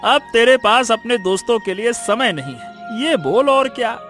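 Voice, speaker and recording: A man's voice: very high-pitched, very animated, a bit bright, faint music behind, full character voice. A man playing a greedy, sarcastic, grumbling village shopkeeper in a cartoon. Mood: sarcastic teasing. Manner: sarcastic, complaining, drawn-out teasing.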